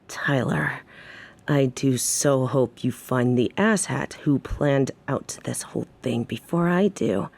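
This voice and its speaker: A woman as a low, cold mafia boss. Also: darkish tone, full character voice. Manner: formal, deliberate, sardonic. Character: cold vengeful female mafia boss, dryly sarcastic. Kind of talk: audio roleplay acting.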